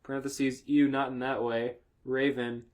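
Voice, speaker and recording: A man's voice: mid-range voice, flat delivery, a little slow, darkish tone. Amused man reading aloud on a podcast. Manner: sweary, amused, interrupts own reading with asides. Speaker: podcast host reading fan fiction aloud. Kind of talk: casual comedic banter and read-aloud.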